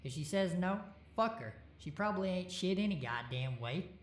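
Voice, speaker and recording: A man voicing a brash, cocky young man who talks loud and crude. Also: mid-range voice, measured pace, reverberant, slight background noise, natural voice. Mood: bossy. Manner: loud, swaggering, profane.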